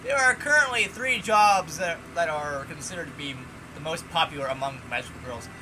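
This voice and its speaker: A man's voice: a little high, a little fast, pushed and bright, a little hiss or hum, low-quality recording. A man reading the lines of a formal female teacher of magic, lecturing a class.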